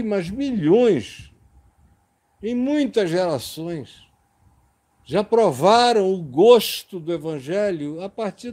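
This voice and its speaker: A man's voice: high voice, mellow. A man preaching a sermon in an impassioned, emphatic way.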